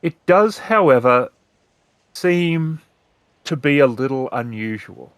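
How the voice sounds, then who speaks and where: A man narrating a tabletop roleplaying game as storyteller, describing scenes in measured, deliberate phrases. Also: mid-range voice, animated, smooth, dry recording.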